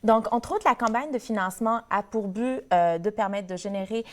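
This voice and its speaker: A woman's voice: mid-range voice, normal speed. Hesitant young woman guest. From Morocco. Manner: hesitant, many fillers and restarts. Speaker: guest, board treasurer of a community association. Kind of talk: TV interview, casual conversation.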